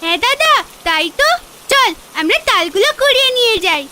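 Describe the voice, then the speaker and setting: A woman's voice: extremely high pitch, lively intonation, fairly quick. A woman voicing an eager, pleading little village girl in a cartoon fairy tale. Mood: earnest. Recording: loud rain noise behind.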